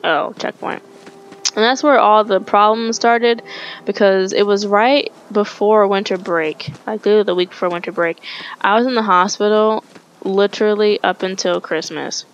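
A woman's voice: medium pitch, steady tone, moderate pace, dull audio. A young woman gaming streamer telling a rambling story as she plays, swearing and correcting herself. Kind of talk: casual storytelling over gameplay. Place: home room, webcam. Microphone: headset.

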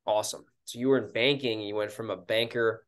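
Fast-talking young male podcast host. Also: lowish voice, steady tone. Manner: upbeat, fast-talking, casual filler "like". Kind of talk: podcast interview over video call. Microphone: video call webcam.

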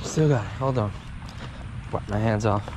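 A man giving casual running commentary to viewers while fishing at a park pond, getting excited over each catch. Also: fairly low pitch, normal speed. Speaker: fishing vlogger. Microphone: head-mounted action camera. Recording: outdoor camera audio with noise.

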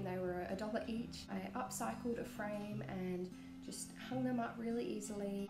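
A young woman talks casually through a home craft project, her voice a little hoarse and croaky from a cold. She sounds mid-pitched and friendly in a bare, echoey room. Plucked guitar music runs clearly underneath. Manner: chatty, explains steps as she works. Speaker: DIY vlogger. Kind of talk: casual home DIY vlog. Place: small home rooms, nursery.

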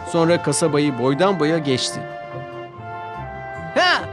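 A man's voice: mid-range voice, moderate pace. Guy telling a kids cartoon story, lots of up and down in his voice, music under it. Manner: clear, expressive storytelling. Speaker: narrator. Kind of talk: storybook narration for a children's cartoon dub. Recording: music playing behind.

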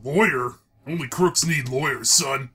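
A man's voice: medium pitch, a little slow, husky, full character voice. A man acting a stern, intimidating police detective in a comic dub, pressing and condescending. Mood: menacing. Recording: loud music behind the voice.

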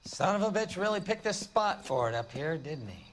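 An actor playing a middle-aged, world-weary, brooding police boss with an American accent. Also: male voice, fairly high pitch, very animated, moderate pace, background noise. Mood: brooding, wistful.

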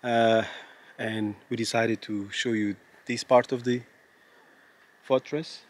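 A man's voice: mid-range voice, fairly even intonation, slow pace. A man, hosting a travel vlog on a foggy mountain trail and explaining the sights in earnest, to a camera held at arm's length. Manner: earnest, explanatory, speaks English with non-native phrasing. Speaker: travel vlogger host. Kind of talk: walking travel vlog narration. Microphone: handheld camera at arm's length.

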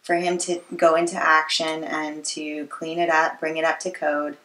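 Lowish-voiced young American woman, roomy sound. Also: level tone, normal speed, sharp tone. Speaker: interviewer, host. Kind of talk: casual interview chat. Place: living room with books, indoors. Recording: roomy, echoey living room.

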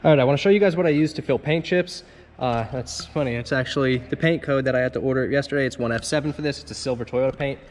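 A man's voice: mid-range voice, fast talker. A man who runs a car detailing and wrap shop, chatting loosely with lots of fillers as he walks viewers through the day's work, moving in and out of doors with a handheld camera. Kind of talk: casual shop vlog walkthrough.

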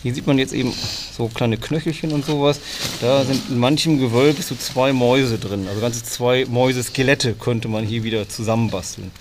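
A man's voice: medium pitch, brisk. A man working as a nature guide, casually explaining things to children. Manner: asks questions and echoes children's answers. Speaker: conservationist leading a nature walk. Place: farm estate at night, outdoors and in a barn. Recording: noisy outdoor field recording.

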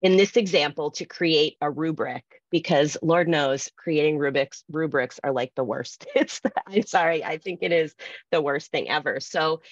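A woman's voice: sits low, moderate pace, dry recording. A woman giving an informal online workshop, chatty and self-deprecating, thinking aloud as she goes. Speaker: librarian presenting a workshop. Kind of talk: informal online presentation with asides. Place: indoor room, on a video call. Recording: dull, roomless video-call audio.

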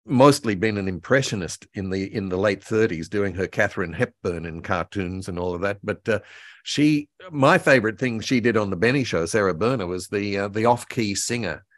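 A man's voice: fairly low pitch, moderate pace, no room sound, studio quality. Older man reminiscing in an interview. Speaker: guest author and voice actor. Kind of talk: enthusiastic anecdotal interview talk about old radio and cartoons. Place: indoor room at home, video call. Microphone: video call.

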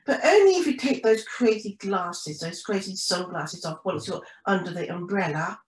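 A woman voicing an eager, excited young girl penguin for a children's storybook. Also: medium pitch, normal speed, reverberant, close to an everyday voice. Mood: eager, earnest.

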